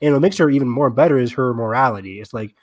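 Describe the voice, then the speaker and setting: A man with a mid-pitched voice co-hosts a casual fan podcast. He talks with gushing enthusiasm, and the sound is dry, with very little room in it. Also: quick pace, dry recording. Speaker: podcast co-host.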